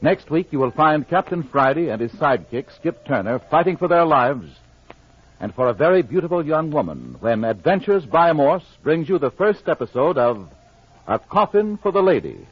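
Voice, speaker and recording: A man works as a dramatic old-time radio announcer. His fairly high voice rises and falls with great animation. The recording is dull and muffled. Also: sing-song, moderate pace, slightly rough.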